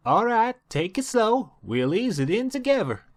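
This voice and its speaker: A young farm mare from a storybook, acted out by a man with a Southern American country drawl. She is folksy and bossy, and her pitch climbs and swings as she talks. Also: big pitch swings, moderate pace. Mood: urging, strained. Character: hard-working young female farm pony, folksy and bossy.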